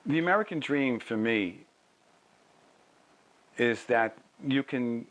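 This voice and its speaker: Male documentary interviewee, average pitch, deliberate and measured phrasing. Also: lively intonation, fairly bright tone, rough recording. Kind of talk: personal testimony in a documentary.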